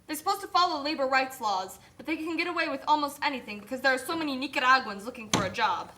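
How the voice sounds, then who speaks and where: A woman's voice: high-pitched, expressive, fast, background noise. A woman voicing an indignant, put-upon factory worker and mother in an amateur puppet show, earnest and pleading. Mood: indignant, weary.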